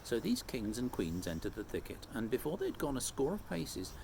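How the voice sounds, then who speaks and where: A man reading a children's storybook aloud as expressive narration. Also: medium pitch, fairly quick, vocal fry, a little hiss or hum.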